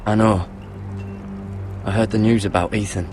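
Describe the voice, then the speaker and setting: Guy playing earnest young fantasy game recruit, low voice, music behind him. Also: steady tone, moderate pace, natural voice. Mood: surprised, worried. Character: earnest young Night's Watch recruit, a loyal nephew. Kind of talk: video game drama acting.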